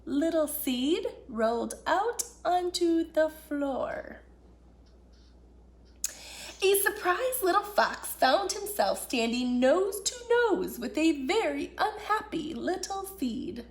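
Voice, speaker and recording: A woman hosting a children's story time, talking and reading aloud in an expressive, deliberate way. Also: high voice. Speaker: children's and families director reading stories. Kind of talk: warm greeting and read-aloud children's storytelling.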